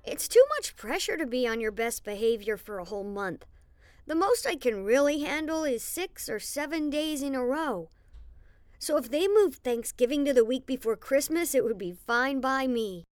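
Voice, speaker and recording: Woman as a high-voiced, griping schoolboy. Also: expressive, normal speed, dry recording. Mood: wry, uneasy. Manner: confiding, complaining. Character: wry, anxious schoolkid boy telling his diary. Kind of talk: first-person audiobook narration.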